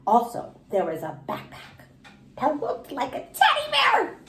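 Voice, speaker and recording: A woman's voice: high voice, huge pitch swings, measured pace, fairly bright tone, exaggerated voice. A woman voicing a chatty, dramatic kindergarten girl who talks emphatically in childish grammar for a storybook. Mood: thrilled. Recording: echoey room.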